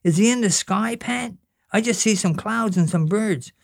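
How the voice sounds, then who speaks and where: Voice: normal speed, vocal fry, no room sound, clean studio sound. A reader voicing a curious, whiny little boy, nagging his older brother with questions. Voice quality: very high, childlike.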